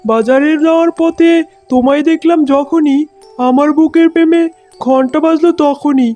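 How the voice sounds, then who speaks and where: Woman doing a high-voiced, scheming male fox. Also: fairly even intonation, normal speed, dark tone, faint music behind, natural voice. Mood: lovestruck. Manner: theatrical, gushing. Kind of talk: cartoon voice acting.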